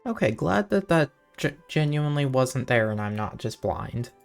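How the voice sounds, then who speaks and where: Very low, creaky woman streaming a game. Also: sing-song, moderate pace, faint music behind. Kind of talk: casual gameplay commentary.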